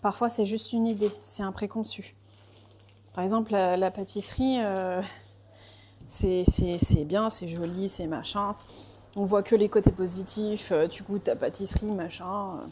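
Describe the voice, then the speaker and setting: A woman's voice: medium pitch, steady tone, a little slow, warm tone, a little hiss or hum. Chatty woman, lots of hesitant fillers. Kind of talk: casual chat. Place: lives in Portugal.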